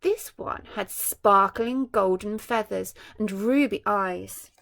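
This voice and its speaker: A woman teacher reading a storybook aloud to children, adding gentle, musing asides to her young listeners. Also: mid-range voice, slow, creaky. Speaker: primary school teacher.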